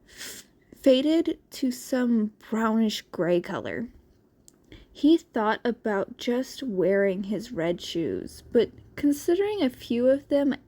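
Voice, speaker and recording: A woman with a fairly high voice narrates a story as an amateur audiobook reader. Her reading is expressive and very unhurried.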